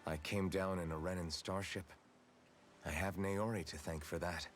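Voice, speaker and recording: A guilt-ridden young warrior from a fantasy game , acted by a man, with a very low voice. He speaks earnestly and solemnly, in measured, brooding phrases. Clear ambient noise sits behind him. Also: steady tone, close to an everyday voice. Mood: somber, resolute. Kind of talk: dramatic video game voice acting.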